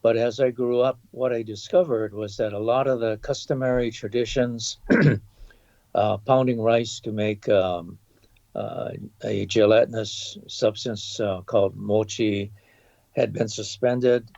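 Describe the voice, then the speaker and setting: This is a man in his seventies from Hawaii, speaking slowly and thoughtfully as a podcast guest, pausing often as he remembers. His voice sits low with a gravelly edge. The recording is dull and dry, with a lo-fi feel. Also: steady tone, darkish tone, no echo. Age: 70s. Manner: measured, with frequent um and uh pauses. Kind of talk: thoughtful reminiscing interview.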